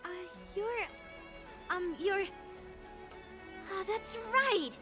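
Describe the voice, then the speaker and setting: A woman's voice: very high-pitched, animated, very slow, sharp tone, exaggerated voice. An actress playing a brash, proud and competitive young girl sword master in a video game, blunt and challenging. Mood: suspicious. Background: game music.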